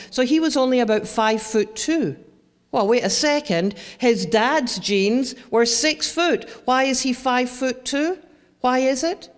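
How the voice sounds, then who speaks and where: Scottish woman speaking slowly in a hall. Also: medium pitch. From Scotland. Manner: lively, chatty, asks the audience questions. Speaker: presenter. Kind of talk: educational talk to parents. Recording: long hall reverb.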